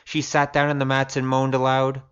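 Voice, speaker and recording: A man narrates a story in the scripted style of an audiobook reader. His voice sits at a middle pitch. The recording is a little dull and lo-fi. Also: completely flat delivery, moderate pace, fairly bright tone, smooth.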